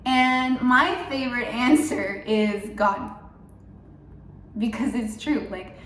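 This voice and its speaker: A woman's voice: on the high side, slow pace, fairly bright tone, slight background noise. A young woman from Mexico chatting in a casual, upbeat devotional vlog, full of fillers like "um" and "like". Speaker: faith vlogger. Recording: roomy, a bit far from mic.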